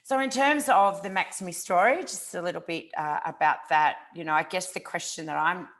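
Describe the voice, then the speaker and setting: A woman's voice: on the low side, normal speed, a bit bright. A woman in her forties, born in Ukraine, giving a conversational talk as a startup founder, full of fillers and restarts. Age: 40s. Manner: chatty, with frequent fillers and restarts. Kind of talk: conference talk over a video call.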